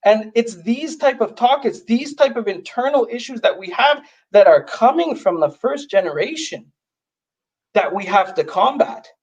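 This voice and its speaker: A man from Canada with a notably high voice for a man, speaking as a guest on a livestream. He talks bluntly and with emphasis, leaning hard on his key points. The sound is dull, as from a lo-fi stream. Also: lively intonation, moderate pace, breathy edge. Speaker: guest, youth advocate. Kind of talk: livestream conversation.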